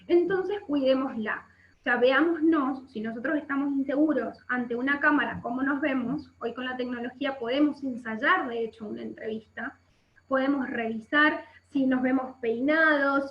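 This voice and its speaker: High-voiced Argentine woman teaching online. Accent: Argentine Spanish. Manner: explanatory, with frequent check-in questions. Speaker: workshop instructor. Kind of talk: instructional webinar talk. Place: indoor room by a window. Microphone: webcam video call. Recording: muffled, echoey video-call audio.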